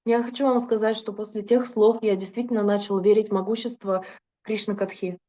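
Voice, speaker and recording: A woman interprets a lecture sentence by sentence, fluent but now and then stopping to correct herself. Her voice is mellow and warm. The recording sounds dull and muffled. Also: medium pitch, fairly even intonation, fairly quick. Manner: fluent, with occasional hesitations and self-corrections. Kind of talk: consecutive interpretation into Russian.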